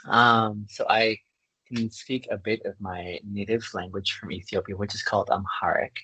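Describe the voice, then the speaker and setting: A podcast host from Canada talks in an articulate, reflective way and laughs easily. His voice is fairly low with a husky edge, and the recording sounds close and dry. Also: flat delivery, moderate pace, sharp tone, no room sound, poor audio. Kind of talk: conversational interview.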